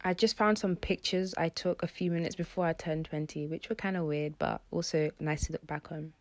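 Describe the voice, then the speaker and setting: A woman's voice: low-pitched, fairly even intonation, a little fast. A woman, talking reflectively as a personal vlogger. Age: early 20s. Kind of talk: personal reflective vlog talk. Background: prominent background music.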